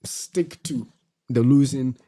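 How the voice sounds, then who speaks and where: A middle-aged man cohosting a talk show, his voice mellow and dark in tone. He preaches at his listeners, hammering home each point by saying it again. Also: mid-range voice, slow. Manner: preachy, exhorting, repeats key phrases. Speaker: co-host. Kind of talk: faith-based advice talk.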